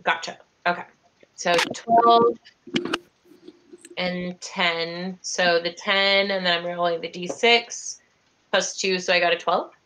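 Bright young woman thinking aloud. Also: lowish voice, slow pace, long pauses, slight background noise, low-quality recording. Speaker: player. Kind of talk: casual tabletop game play.

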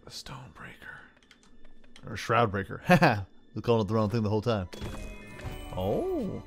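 A man's voice: on the low side, big pitch swings, normal speed. A man hosting a gaming video, thinking aloud in casual, playful commentary with frequent exclamations. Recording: game music under the voice. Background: game music.

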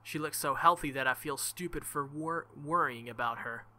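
A young man reading a visual novel aloud and commenting, animated and thinking out loud. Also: fairly high pitch, moderate pace. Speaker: let's play host.

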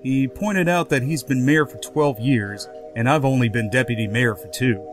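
A man's voice: medium pitch, normal speed, faint music behind, natural voice. A man voicing characters in an acted comic book dub. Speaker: voice actor.

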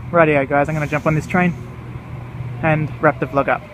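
A man's voice: on the high side, flat delivery, fairly quick. Australian man narrating at a train platform. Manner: matter-of-fact, naming trains as they pass. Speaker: train vlogger. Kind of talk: casual vlog commentary. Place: outdoor railway station platform. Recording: outdoor camera audio with train noise. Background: passing trains and station noise.

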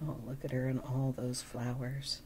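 A middle-aged woman reading a picture book aloud as a storytime reader, with chatty asides and expressive, drawn-out emphasis. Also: very deep voice, a little hiss or hum. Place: small room at home.

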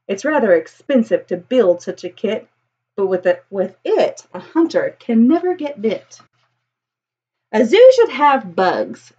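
A woman's voice: mid-range voice, a little mellow, breathy edge, lo-fi sound. A woman in her thirties reading a children's picture book aloud expressively, with a singsong, rhythmic delivery. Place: small indoor room with bookshelves.

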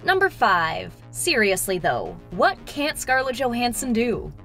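Lively high-pitched woman narrating a countdown. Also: a little slow. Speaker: host and narrator of a film countdown. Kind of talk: upbeat scripted entertainment narration. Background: background music.

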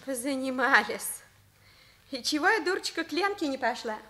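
Pert, high-voiced schoolgirl. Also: lively intonation, normal speed, sharp tone, a little hiss or hum. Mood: huffy. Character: pert, sharp-tongued teenage schoolgirl Paola. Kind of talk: Soviet radio play acting.

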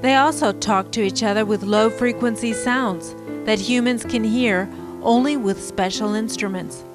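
A woman narrating a documentary program in a slow, gentle, measured scripted delivery. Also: a little high, music in the background.

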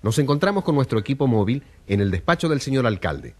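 An actor as a measured male radio reporter. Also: mid-range voice, sing-song, natural voice. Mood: businesslike. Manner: formal, measured. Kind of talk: radio drama acting as live news reporting. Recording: crowd murmur behind voice.

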